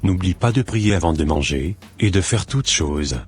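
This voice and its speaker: Very deep, husky devout father, acted by a man. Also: lively intonation, normal speed. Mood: caring. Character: caring, devout father advising his daughter. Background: faint music.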